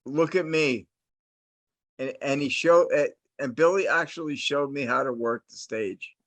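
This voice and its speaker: Slow, rambling middle-aged man from New Jersey. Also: fairly high pitch, a bit bright, no room sound. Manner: rambling, many restarts and fillers. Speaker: host, interviewer. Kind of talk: casual interview chat, long storytelling.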